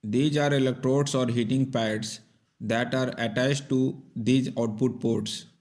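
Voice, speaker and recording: A man's voice: mid-range voice, steady tone, a little slow, darkish tone. Methodical man narrating a technical tutorial. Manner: methodical, step-by-step, instructional. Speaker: repair tutorial presenter.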